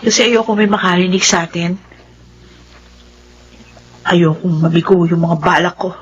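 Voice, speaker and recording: An actress playing a scheming, hot-headed young Filipino older sister in a radio drama, insistent and commanding. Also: female voice, fairly low pitch, normal speed, husky, highs cut off. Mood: secretive, plotting.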